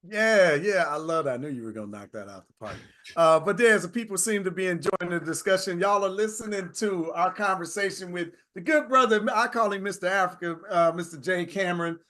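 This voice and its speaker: A man's voice: high-pitched, fairly quick, pushed and bright. A male interview host, upbeat, asking long rambling questions with many fillers. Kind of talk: conversational interview.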